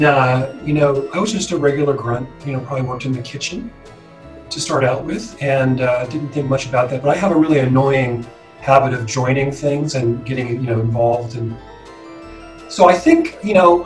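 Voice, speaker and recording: A middle-aged American man looks back in a loose, rambling, conversational way. He sounds away from the microphone in a reverberant room, with music in the background. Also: mid-range voice. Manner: rambling, hedging with frequent "you know". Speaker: interviewee, former student co-op member. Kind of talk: casual reminiscing interview. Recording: roomy, distant mic, music behind.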